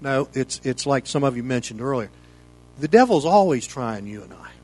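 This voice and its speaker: Male pastor, American, mature. Informal, conversational preaching, folksy and meandering, medium pitch. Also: big pitch swings, normal speed, a little mellow. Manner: folksy, rambling, peppered with "you know". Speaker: pastor leading a Bible study. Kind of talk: informal sermon, conversational teaching.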